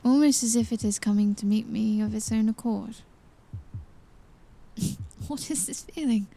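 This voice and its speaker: Woman acting earnest young princess, slow and wondering. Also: fairly high pitch, gravelly, mellow. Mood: wondering, focused. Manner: hesitant, wondering, deliberate phrasing. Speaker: voice actor. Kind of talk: audio drama acting.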